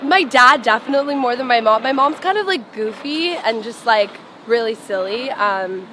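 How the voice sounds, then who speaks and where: A woman's voice: a little high, expressive, moderate pace, sharp tone. A young woman, a singer born and raised in Los Angeles, chatting casually in an interview with lots of "like" fillers. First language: Farsi. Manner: chatty, full of "like" fillers, laughs often. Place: outdoors on a sidewalk. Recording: street noise behind.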